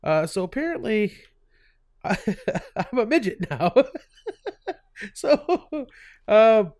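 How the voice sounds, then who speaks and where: A man's voice: sits high, big pitch swings, takes it slowly, darkish tone, no echo, studio quality. A man with a stuffy cold hosting his gaming channel, chatting casually over gameplay, laughing often and full of fillers and restarts.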